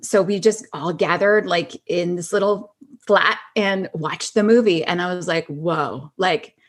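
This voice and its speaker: A woman's voice: lowish voice, a little slow. A woman in her forties chatting casually and reminiscing as a podcast guest, informal, giggly and loosely rambling. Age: 40s.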